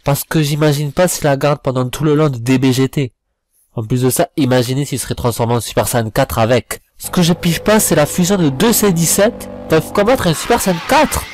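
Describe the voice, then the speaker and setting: A man's voice: fairly high pitch, a little fast, darkish tone, dry recording. A man reviewing in a voice-over, giving informal critical commentary in a blunt, slangy, complaining manner. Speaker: video reviewer. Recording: voice-over with music behind.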